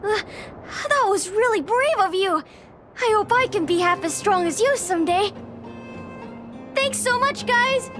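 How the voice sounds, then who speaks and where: A small, shy game child with a very high voice. The delivery is meek, earnest and slow, over faint music. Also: female voice, lively intonation, fairly bright tone, full character voice. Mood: grateful, admiring. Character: timid, polite, grateful small child. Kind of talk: animated game voice acting.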